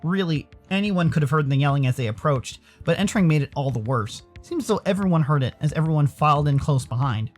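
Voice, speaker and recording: An American man from Arizona giving theatrical, expressive narration of a visual novel as a storyteller. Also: fairly high pitch, fast, hardly any pauses, soft background music. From Arizona, United States. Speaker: narrator and streamer.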